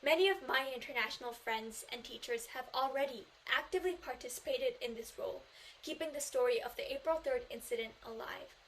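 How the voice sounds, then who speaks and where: A woman's voice: high-pitched, brisk, fairly bright tone, a little hiss or hum, low-quality recording. A Korean teenage girl, a native Korean speaker, delivering an earnest memorized speech in English at a student contest, stressing key phrases. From South Korea. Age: teens.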